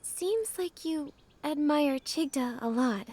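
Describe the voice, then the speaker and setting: A woman playing a gentle, polite young stylist heroine in a video game, speaking earnestly. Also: high voice, animated, slow. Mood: polite, curious. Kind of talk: video game character acting. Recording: music behind the voice.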